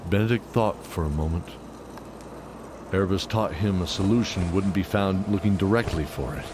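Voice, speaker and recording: A man's voice: sits low, normal speed, background noise. A man narrating a fantasy audio drama in a scripted, dramatic storytelling style.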